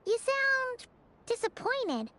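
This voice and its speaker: A woman voicing a cute, naive childlike fairy companion with a squeaky voice in a video game. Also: very animated, slow, background noise, full character voice. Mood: puzzled.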